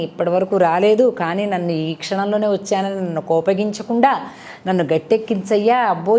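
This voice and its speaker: A woman's voice: mid-range voice, darkish tone. A woman gives a devotional discourse with moral storytelling, teaching conversationally and putting rhetorical questions to her listeners. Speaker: spiritual speaker and storyteller.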